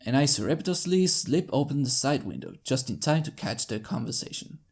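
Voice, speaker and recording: A man with a creaky voice narrating a first-person story in an expressive, theatrical way. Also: mid-range voice, fairly quick. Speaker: fan fiction narrator.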